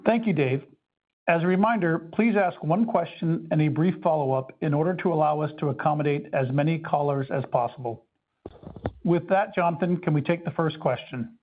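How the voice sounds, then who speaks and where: A man's voice: on the high side, a little fast. A man moderating an earnings call in a brief, formal style. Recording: muffled narrowband conference call audio.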